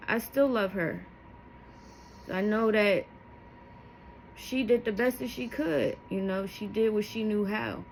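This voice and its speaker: A woman's voice: mid-range voice, slight background noise, poor audio. A woman of thirty telling her life story to her viewers, candid and emotional, rambling with asides as she goes. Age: 30s. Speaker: vlogger. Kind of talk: personal testimony to camera.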